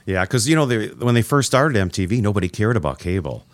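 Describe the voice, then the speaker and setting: A man's voice: fairly low pitch, big pitch swings, fast talker, no echo. A middle-aged man hosting a radio interview, chatting casually and rambling through nostalgic stories. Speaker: radio host.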